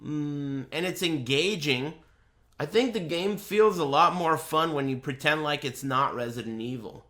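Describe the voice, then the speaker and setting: A man gives casual live commentary while gaming. His voice is bright and slightly high, and he takes his time. He is offhand, sardonic and swears freely. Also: unhurried. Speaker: game streamer.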